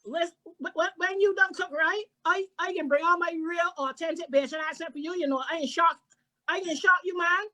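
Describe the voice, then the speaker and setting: Woman on a call, very high bright voice, teasing, playful. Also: fairly even intonation, fairly quick, roomy sound. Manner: playful, teasing in a put-on island accent. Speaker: viewer on the call.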